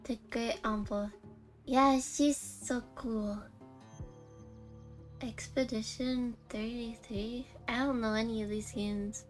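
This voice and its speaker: A woman's voice: on the high side, steady tone, slow. A woman streaming a video game, giving playful, chatty casual commentary. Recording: faint music behind the voice.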